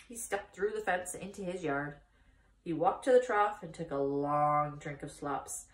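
A woman in her thirties reading a children's book aloud as expressive storytime narration. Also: sits low. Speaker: storytime reader. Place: small indoor room, seated by a wall. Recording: echoey room, distant microphone.

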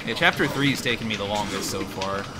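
A man's voice: on the low side, fast. A man in his twenties chatting casually as a game streamer, with slangy, offhand commentary. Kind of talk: casual gaming banter and commentary. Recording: game music and engine noise behind. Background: video game music and racing engines.

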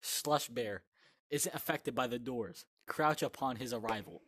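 A man hosting a VR horror let's play, excitable, yelping and shouting when scared. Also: mid-range voice, a little slow, breathy edge, no room sound. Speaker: gaming host. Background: game music.

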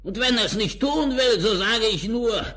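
An actor playing a sly, greedy, hungry wolf with a rough, growling voice in a fairy-tale audio play. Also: male voice, sits high, expressive, unhurried, pushed and bright, heavily acted. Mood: grumbling, scheming. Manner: scheming, menacing, theatrical.

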